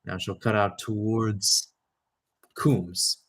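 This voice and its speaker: A man's voice: fairly low pitch, steady tone, slow pace. Young man calling live soccer play-by-play. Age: young adult. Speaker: play-by-play commentator. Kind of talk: live radio soccer commentary.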